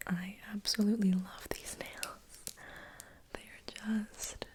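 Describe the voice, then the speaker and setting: Young female ASMR host, whispered and very breathy, casual rambling chat with little pitch movement. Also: level tone, slow pace. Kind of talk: soft-spoken ASMR chat.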